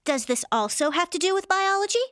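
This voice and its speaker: A woman's voice: very high voice, expressive, a little fast, a bit bright, no room sound, studio quality. A woman playing a naive, curious young scientist's daughter with formal, literal-minded phrasing, in a radio sci-fi parody. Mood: innocent curiosity. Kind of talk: old-time radio sci-fi parody acting.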